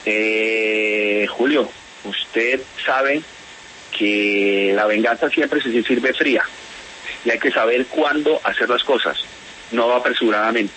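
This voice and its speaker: A man from Spain who speaks with a Bogotá accent, his voice bright and forward. He talks haltingly, restarting and repeating words as he goes. Faint hiss sits under the line. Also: medium pitch, low-quality recording. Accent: Bogotá Colombian Spanish. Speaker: interviewee, whistleblower. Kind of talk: phone interview on radio.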